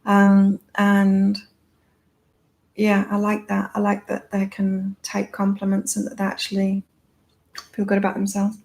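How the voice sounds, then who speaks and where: A woman hosting a live psychic card-reading chat with a calming voice, talking in a rambling, reflective way with many fillers. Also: mid-range voice, unhurried, gravelly. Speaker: psychic reader and live-stream host. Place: in Thailand.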